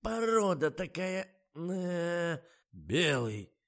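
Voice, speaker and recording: Man playing a loud cranky old watchman, raised pitch, yelling a lot. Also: sing-song, very slow, full character voice. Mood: grumbling. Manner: shouting, exasperated. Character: old male theater guard, gruff and exasperated.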